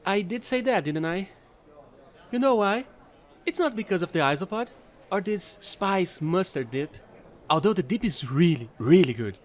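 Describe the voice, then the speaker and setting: A man playing a chatty, excitable fallen alien angel who trades in space, gushing and rambling in an audio roleplay. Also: high voice, moderate pace. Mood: eager, friendly. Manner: bubbly, gushing, rambling, apologetic.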